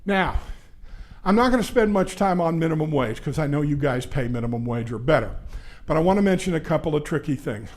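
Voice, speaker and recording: Older guy giving a conference talk on a mic, loud voice, a bit high, lots of uhs. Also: expressive. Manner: loud, brisk, conversational lecturer with frequent "uh" fillers. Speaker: labor law attorney presenting a seminar. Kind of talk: conference presentation. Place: conference session room. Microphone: handheld or stand microphone.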